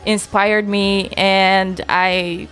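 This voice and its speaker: Young woman from Bulgaria, interview guest, middle pitch, easygoing and chatty, soft music behind. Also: extremely slow, poor audio. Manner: relaxed, chatty, laughs easily, trails off. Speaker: guest singer-songwriter. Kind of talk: casual interview chat.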